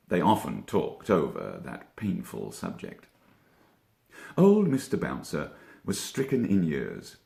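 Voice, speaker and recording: A man reading a children's story as an actor would, with theatrical, expressive and deliberately dramatic phrasing. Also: lowish voice, creaky, reverberant.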